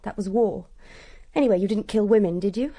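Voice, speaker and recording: Candid, mellow-voiced upper-class Englishwoman played by an actress. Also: medium pitch, brisk, close to an everyday voice. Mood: frank, challenging. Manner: frank, probing, cool. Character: candid, strong-willed upper-class young woman, quietly challenging. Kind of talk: radio drama dialogue.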